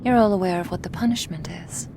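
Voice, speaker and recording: Woman acting a low, commanding vampire boss. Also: creaky, noisy background. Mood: coolly suspicious. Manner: measured, authoritative, deliberate. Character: commanding female vampire crime boss, cool and menacing. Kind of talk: ASMR roleplay acting.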